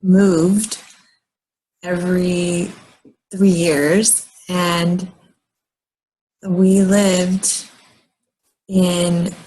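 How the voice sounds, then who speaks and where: An American woman in her late thirties talking casually and haltingly in a personal vlog, often pausing mid-sentence and rambling along. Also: on the low side, level tone, dark tone, breathy edge, low-quality recording. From United States, moved often as a military child. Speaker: vlogger.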